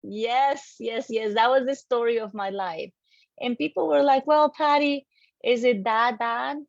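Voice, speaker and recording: A woman with a Colombian accent and a fairly high voice delivers a motivational talk. She is animated and emphatic, speaking straight to her audience and repeating key phrases. The audio is somewhat dull and lo-fi, with a touch of room echo. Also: a little slow, creaky. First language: Spanish. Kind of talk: motivational presentation to a live online audience. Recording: dull, lo-fi online audio, slightly roomy.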